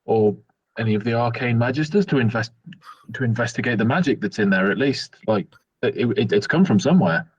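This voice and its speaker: Man, husky voice, medium pitch, halting tabletop roleplay, dull muffled recording. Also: animated, no echo. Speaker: tabletop game player. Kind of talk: casual improvised roleplay. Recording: dull muffled close microphone.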